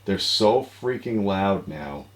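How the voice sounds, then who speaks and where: A middle-aged American man with a low-pitched voice hosts an online show. His delivery is casual and conversational, like an informal chat with a colleague. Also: lively intonation, slow pace. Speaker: show host. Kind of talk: casual video show hosting. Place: home office.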